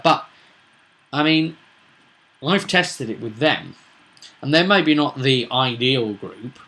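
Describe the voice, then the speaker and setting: A man talking casually as a game master in an animated, blunt, rambling commentary. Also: mid-range voice. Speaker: tabletop game master, video presenter.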